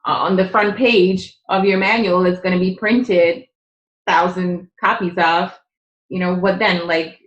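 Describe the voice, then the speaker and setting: A woman's voice: on the low side, fairly even intonation, measured pace, echoey room, dull audio. A woman who grew up speaking Czech, a guest on a podcast, explaining things earnestly with many fillers. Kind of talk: conversational interview.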